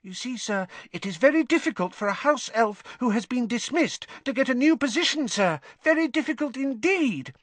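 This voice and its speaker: A man voicing a small, eager male house elf who talks shrilly and excitably about himself in the third person. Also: extremely high pitch, moderate pace, hardly any pauses, no echo, pitched above the performer's own voice, heavily acted. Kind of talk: audiobook character voice.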